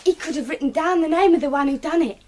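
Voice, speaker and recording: High-pitched, pert young English maid played by an actress. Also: female voice, quick pace, darkish tone, close to an everyday voice. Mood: eager. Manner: blunt, cheeky, deferential "sir". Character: pert, stubborn young maid, bold and outspoken. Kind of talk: British radio drama acting.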